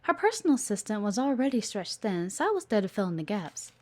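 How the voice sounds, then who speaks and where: A woman's voice: medium pitch, sing-song, fast, darkish tone. A woman playing a playful, teasing, observant executive assistant in an intimate one-sided audio roleplay. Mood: fond, reflective. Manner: coy, teasing, chatty, with murmured asides.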